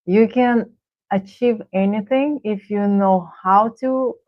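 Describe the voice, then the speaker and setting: A middle-aged woman with a Russian accent, a guest coach answering thoughtfully with frequent pauses and fillers. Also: medium pitch, no echo. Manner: thoughtful, halting with many fillers. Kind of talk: casual interview answers. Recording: tinny phone-like line.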